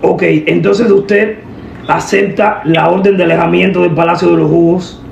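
A young woman making a deadpan prank phone call, giving instructions in an official-sounding manner. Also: on the low side, normal speed, vocal fry, reverberant, noisy background. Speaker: prank caller, host. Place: indoor room at a table. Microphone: camera a short distance away.

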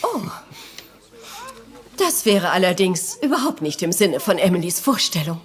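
A woman's voice: on the low side, sing-song, a little slow. An actress playing an anxious, indignant English lady in a retro radio mystery, dramatic and emotional. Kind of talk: retro German detective radio play acting.

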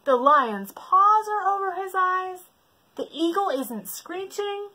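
Storybook zookeeper, acted by a woman: high, forceful, fretful, alarmed, wailing. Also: lively intonation, takes it slowly, full character voice. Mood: worried. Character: frantic, worried male zookeeper, wailing in alarm. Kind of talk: children's storybook read-aloud.

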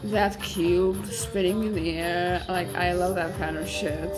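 A woman's voice: fairly low pitch, little pitch movement, slow pace, music in the background. A young adult woman giving casual, gushing reaction commentary in an effusive, awestruck manner. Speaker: reaction video host. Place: small furnished living room at home.

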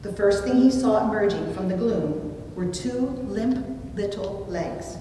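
A woman's mellow voice carries through a very reverberant church room, picked up from far off. She preaches in measured, deliberate phrases, telling stories expressively from a pulpit. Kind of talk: sermon with storytelling, read from notes. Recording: distant mic, very echoey room, rumbly noise.

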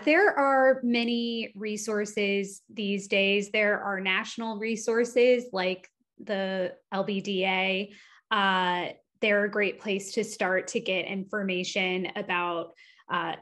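A woman in her thirties, a social worker, explaining resources clearly and informatively on a video call. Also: mid-range voice, a bit bright. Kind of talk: giving advice on a panel.

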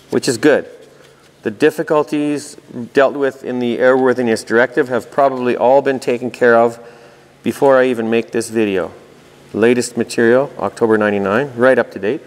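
A man giving an informal technical walkthrough, reading aloud and thinking out loud with dry asides. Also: medium pitch. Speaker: host of a technical explainer video. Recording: reverberant room with long echo.

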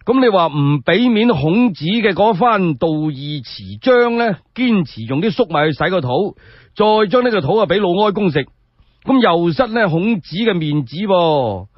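A man's voice: high voice, sing-song, normal speed, dry recording, dull audio. A man with a Cantonese accent telling historical tales as a solo radio storyteller, colloquial and dramatic.

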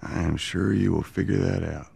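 An actor playing a gruff, cynical middle-aged male smuggler in a game, who talks tersely and dryly with a sarcastic edge. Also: deep voice, steady tone, normal speed, noisy background. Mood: curt.

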